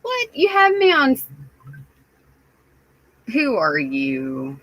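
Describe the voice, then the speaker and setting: A woman live streamer chatting casually with her viewers, sounding stuffy and raspy, rambling with lots of fillers. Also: high-pitched, huge pitch swings, fairly bright tone, no room sound, lo-fi sound. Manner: slow, rambling, many fillers.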